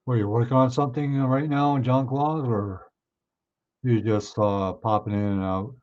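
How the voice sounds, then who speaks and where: A man hosting a livestream, chatting casually with viewers and answering their comments, his voice a bit hoarse. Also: medium pitch, fairly even intonation, measured pace. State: hoarse, clearing his throat. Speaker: livestream host. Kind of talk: casual chat with live viewers. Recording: somewhat dull, lo-fi stream audio.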